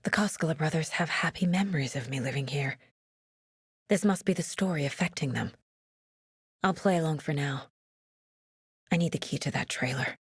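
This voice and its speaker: A woman's voice: fairly low pitch, slightly rough, no room sound, studio quality. A woman playing a determined, analytical FBI agent and mother in a video game, speaking in a serious, naturalistic way. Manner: serious, matter-of-fact, analytical. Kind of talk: naturalistic game voice acting, inner monologue and dialogue.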